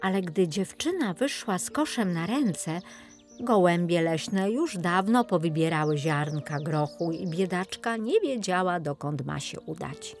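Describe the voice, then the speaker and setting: A woman narrates an audiobook fairy tale for children. Her voice sits a little low, with soft music playing behind it. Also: animated, a little slow.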